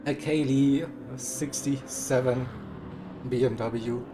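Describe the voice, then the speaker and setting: A man commentating a live sim race, hoarse and cracking, upbeat and laughing a lot. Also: mid-range voice, fairly even intonation, slow pace, noisy background. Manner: upbeat, laughs often, self-mocking.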